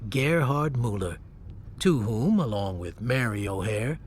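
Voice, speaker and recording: An actor playing a reflective war-veteran narrator in a radio drama, telling a grim story in a measured, understated, matter-of-fact way. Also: male voice, mid-range voice, animated, slight background noise, close to an everyday voice.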